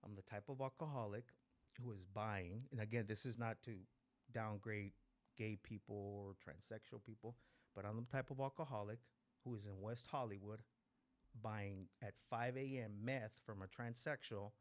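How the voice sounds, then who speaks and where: A man's voice: on the low side, moderate pace. A man in his forties from Mexico, raised in East Los Angeles, speaking candidly and profanely as he gives personal testimony at a meeting. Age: 40s. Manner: blunt, profane, repeats phrases for emphasis. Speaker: guest speaker at a recovery meeting.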